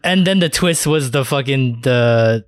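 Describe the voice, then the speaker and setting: A man's voice: medium pitch, lively intonation, unhurried, no echo. Casual man in a dry recording. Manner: informal, swearing. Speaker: podcast co-host. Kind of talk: casual anime discussion.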